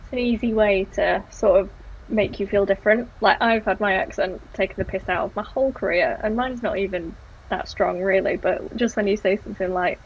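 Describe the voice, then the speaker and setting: A woman's voice: mid-range voice, brisk, husky. Candid woman on a dull, lo-fi line. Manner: candid, hesitant, with filler words. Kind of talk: casual discussion. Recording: dull lo-fi sound with faint noise.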